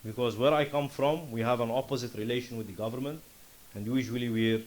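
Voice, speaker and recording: A man from Syria with a Lebanese accent giving an earnest, impassioned startup pitch and answering questions. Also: fairly even intonation, brisk. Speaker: startup founder presenting. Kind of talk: conference pitch and Q&A.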